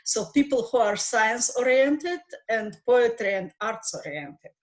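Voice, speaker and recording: A woman's voice: a little high, expressive, a little slow. Woman participant on a video call, native Russian speaker, earnest, hunts for words. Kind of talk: casual online discussion.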